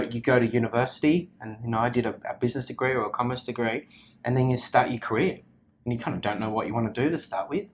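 A man's voice: mid-range voice, slight background noise. An Australian man, a company founder, chatting energetically in an interview, rambling with lots of restarts and fillers. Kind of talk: casual interview chat. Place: office.

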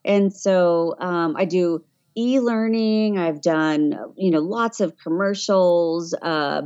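A woman's voice: on the low side, takes it slowly, darkish tone, highs cut off. A middle-aged woman, chatting as an interview guest and telling her story conversationally. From Tennessee, USA. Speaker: interview guest, voiceover artist.

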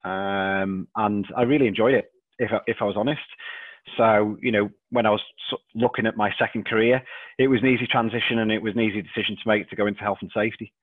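A man in his thirties with a Liverpool accent, a podcast guest who talks haltingly and repeats words and fillers. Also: fairly low pitch, fairly even intonation, dry recording. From England. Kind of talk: casual interview chat. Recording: dry tinny phone-like line.

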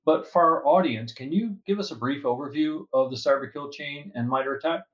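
A man's voice: a little high, fairly quick, fairly bright tone. A man hosting an interview, opening with scripted introductions and asking questions in an upbeat, friendly tone. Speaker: interview host, security advisor. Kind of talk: hosted interview. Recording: slightly dull lo-fi audio.

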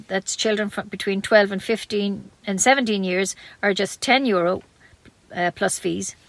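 A woman's voice: medium pitch, a bit bright, no echo. A woman with an Irish accent reads scripted local news as a community radio newsreader.

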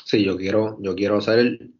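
A young man from Puerto Rico, speaking with a Puerto Rican accent, answers interview questions as a basketball coach. He talks earnestly and repeats words as he goes. His voice sits low, and the audio is slightly muffled. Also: fairly even intonation, normal speed. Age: young adult. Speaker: basketball coach and video coordinator.

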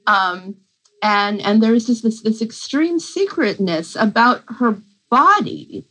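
A woman's voice: fairly high pitch, lively intonation, fairly bright tone, low-quality recording. A woman literature professor speaking as an interview guest, thinking aloud with frequent hesitations and restarts. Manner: thoughtful, halting, many fillers and restarts. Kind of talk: interview talk.

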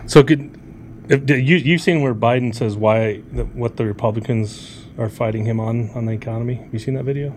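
A man's voice: medium pitch, a little fast, a little mellow, a little hiss or hum. A man co-hosting a casual chat show, speaking in a joking, sarcastic way. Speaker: co-host.

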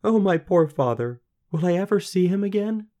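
A man voicing a gentle, anxious young peasant girl with a plaintive, pleading manner, for a storybook. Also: medium pitch, very animated, a little fast, voice pushed up, natural voice. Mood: despairing. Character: young, gentle, anxious poor weaver's daughter.

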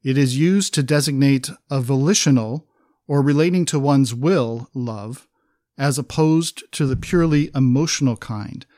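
A man's voice: medium pitch, moderate pace, warm tone, no room sound, studio quality. A man co-hosting a conversational Bible study podcast, talking with enthusiasm and reading scripture aloud. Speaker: podcast co-host.

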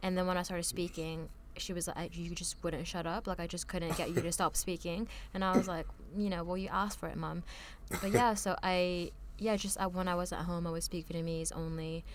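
A chatty young woman speaking in a low, fairly level voice. She talks casually and leans on "like" as she tells stories. A faint hiss of noise sits under the recording. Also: level tone, fairly quick, hardly any pauses. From France. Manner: chatty, lots of "like" fillers. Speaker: podcast guest. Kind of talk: casual conversational storytelling.

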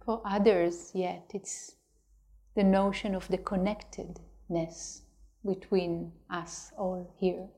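Very slow-speaking woman guiding meditation. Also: on the low side, level tone. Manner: gentle, unhurried delivery with long pauses. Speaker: meditation teacher. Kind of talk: guided meditation.

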